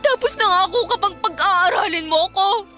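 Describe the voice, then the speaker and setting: An actress playing a bitter, defiant daughter in a Filipino radio drama, confrontational and scornful, with a Filipino accent. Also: female voice, very high voice, moderate pace, pushed and bright, faint music behind. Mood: anguished, angry. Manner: confrontational, scornful, emotionally charged. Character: bitter, defiant daughter wronged by her father.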